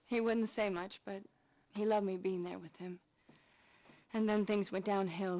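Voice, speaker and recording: An actress playing a gentle, sorrowful granddaughter, speaking haltingly, in a game's medical drama. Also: female voice, medium pitch, natural voice. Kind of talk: acted medical drama dialogue. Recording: dull game video audio.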